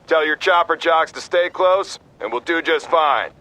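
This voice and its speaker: An actor playing a gung-ho, combative army captain in a military video game, talking in brash soldier fashion. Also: male voice, on the high side, unhurried, rough recording. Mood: brisk, determined. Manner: brash, forceful soldier talk. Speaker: army field officer.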